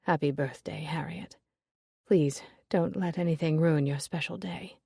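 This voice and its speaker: Woman doing Julius, an earnest young gentleman. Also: low-pitched, steady tone, measured pace, creaky, husky, dry recording, clean studio sound, pitched below the performer's own voice, full character voice.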